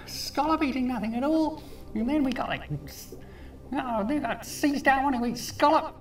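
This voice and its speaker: A woman voicing an excitable, grumbling fish in a children's puppet show, exclaiming and muttering incredulously. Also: sits high, normal speed, echoey room, distant mic.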